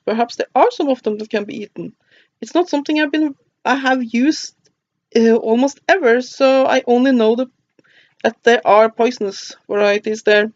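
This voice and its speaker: Brief: Norwegian woman, casual book reviewer, hesitant and halting, dry and slightly dull home recording. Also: on the high side, no room sound. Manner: halting, with frequent "uh" and stumbles over names. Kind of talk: casual book review, reading a table of contents.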